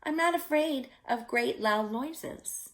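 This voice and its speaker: Small scared turtle boy, woman narrator acting, storybook, earnest, plaintive, high voice, unhurried. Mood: firm refusal. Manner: plaintive, polite questioning.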